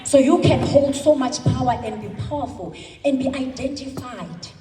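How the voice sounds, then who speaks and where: South African woman, thirties, emphatic motivational speaker, slow dramatic pauses, distant from the mic, long hall reverb. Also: high voice, mellow, faint music behind. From South Africa, Eastern Cape. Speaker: convention speaker. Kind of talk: motivational speech. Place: large hall.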